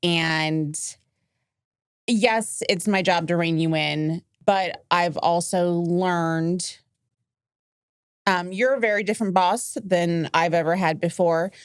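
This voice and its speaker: A woman in her forties with a fairly low voice talks as a podcast guest. She is candid and informal, with a self-deprecating streak, and the sound is close and dry. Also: steady tone, slow, clear, resonant tone, no room sound. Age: 40s. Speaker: podcast guest, company accountant. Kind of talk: casual chat.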